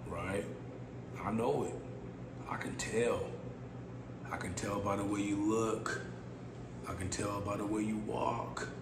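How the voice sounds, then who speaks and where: Raspy guy in his 30s doing a solo podcast, kinda low voice, lots of hiss behind him. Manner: laid-back, playful, repeats phrases with slangy asides. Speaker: podcast host. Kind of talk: casual solo podcast monologue. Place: small room indoors, seated. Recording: echoey room with steady background hiss.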